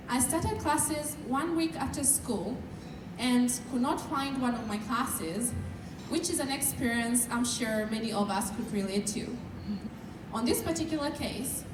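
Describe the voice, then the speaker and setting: Young Kenyan woman, student speaker, measured and earnest; distant mic in a noisy, echoey hall. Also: on the high side. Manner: measured, earnest, sometimes shy. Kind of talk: prepared speech at a dinner. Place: large event hall.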